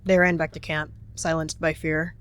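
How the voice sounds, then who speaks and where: Casting: roleplay narrator with a medium-pitched voice, dramatic third-person storytelling. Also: level tone, moderate pace, fairly bright tone, smooth.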